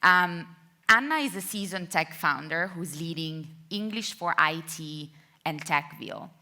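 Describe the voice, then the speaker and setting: Italian-accented woman moderator, low and bright, friendly on a conference stage mic. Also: animated, a little slow. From Italy. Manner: friendly, with light laughs and fillers. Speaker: panel moderator. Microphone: handheld microphone.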